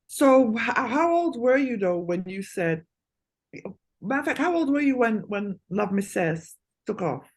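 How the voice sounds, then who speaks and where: A woman's voice: fairly high pitch, very animated, a little slow. A woman hosting a casual interview, talking freely and often asking "you know what I mean". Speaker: host.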